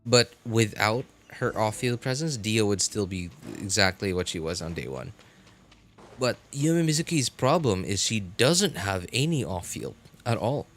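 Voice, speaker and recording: A man's voice: fairly low pitch, sing-song, unhurried, fairly bright tone. A man casually chatting with viewers while he plays on a livestream, rambling and rephrasing himself as he goes. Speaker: game livestreamer. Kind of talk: casual chat with live stream viewers while gaming.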